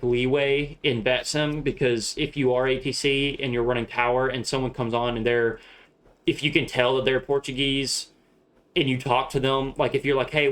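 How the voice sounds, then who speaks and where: A man's voice: mid-range voice, a bit bright. A young man with a Southern US accent chatting casually on a live stream, rambling, laughing often and swearing freely. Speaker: streamer flying a shared-cockpit sim flight.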